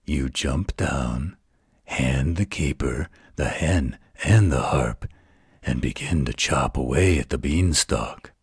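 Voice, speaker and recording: An extremely low-voiced, gentle werewolf, acted by a man, tells a bedtime story at an unhurried pace. The voice is very raspy and soothing, protective and tender, as in an intimate roleplay audio. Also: dry recording, studio quality, exaggerated voice. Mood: hushed storytelling. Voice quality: gravelly, husky.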